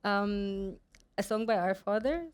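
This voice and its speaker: A woman's voice: mid-range voice, slow, clear, resonant tone. Hesitant, laughing young woman designer. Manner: laughing often, hesitant fillers. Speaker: designer and studio owner. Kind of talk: live onstage Q&A.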